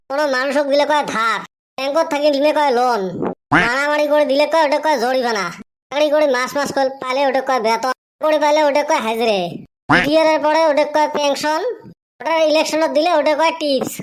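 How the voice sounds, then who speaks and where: A woman's voice: sits high, natural voice. A woman voicing a cheeky, complaining village youngster who talks back in a comic cartoon. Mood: reciting smugly.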